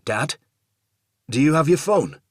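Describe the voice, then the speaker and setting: Audiobook role: man playing a trapped, panicky adult son, urgent delivery lifted high, rough-edged voice. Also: very animated, unhurried, no echo, studio quality. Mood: urgent, reassuring. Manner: urgent, panicked, trying to reassure.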